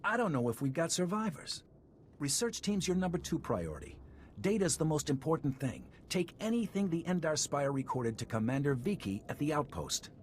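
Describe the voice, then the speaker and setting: A man's voice: a little high, sing-song, normal speed, a little hiss or hum. An actor playing a cynical military captain in a video game, blunt and world-weary as he gives orders. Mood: businesslike briefing. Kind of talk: video game dialogue acting.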